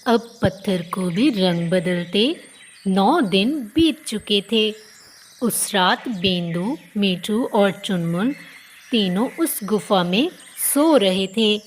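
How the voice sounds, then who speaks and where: Slow-paced female children's story narrator. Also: mid-range voice, lively intonation.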